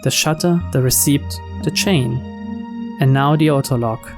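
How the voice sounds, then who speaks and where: A man's voice: mid-range voice, measured pace. A man reading a visual novel aloud as scripted story narration. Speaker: video game reader. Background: game background music.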